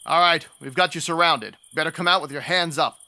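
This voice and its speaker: Casting: male actor as gruff radio-play cop, creaky and hard-edged, barking commands over cricket-filled night ambience. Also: fairly high pitch, brisk, bright, forceful tone, dry recording. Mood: tense, commanding. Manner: terse, commanding. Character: gruff no-nonsense male police sergeant on a manhunt. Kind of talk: old-time radio cop acting.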